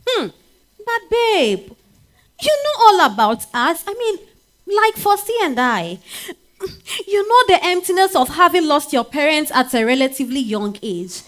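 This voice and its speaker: An actress with a Ghanaian accent playing a bitter, grieving betrayed wife in a staged dramatic monologue, full of sighs and exclamations. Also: female voice, high-pitched, sing-song, a bit bright, heavily acted. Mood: wistful, exclamatory. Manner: theatrical, exclamatory, with drawn-out sighs and interjections.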